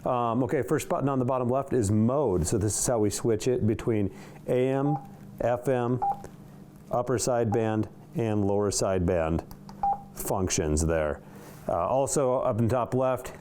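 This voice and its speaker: Man, medium pitch, informal product presenter, casual hands-on walkthrough, noticeable background noise. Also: measured pace, mellow. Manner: informal, talks while handling items. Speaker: product reviewer for a radio shop.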